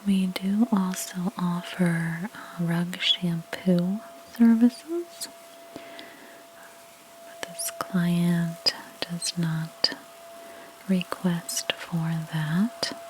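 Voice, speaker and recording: A woman speaks very slowly in a soft, breathy near-whisper, slightly low for a female voice. She plays a house cleaner in an ASMR roleplay, explaining gently and leaving pauses. Some background noise sits behind her voice. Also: rough recording. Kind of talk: softly spoken ASMR roleplay.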